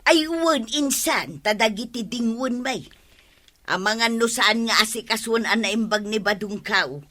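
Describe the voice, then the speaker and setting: A woman's voice: on the high side, expressive, a little slow, pushed and bright, heavily acted. A woman with an Ilocano accent playing a stingy, grumbling village wife in a radio comedy drama, exclaiming and complaining. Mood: polite.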